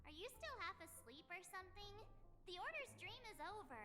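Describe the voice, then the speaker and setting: Very high, perky game heroine girl. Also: big pitch swings, brisk, big-room sound. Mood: exasperated. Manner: dramatic, chatty, girlish. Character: perky, dramatic, boastful young adventurer girl in a space fantasy game. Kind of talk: animated video game voice acting. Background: clear game music.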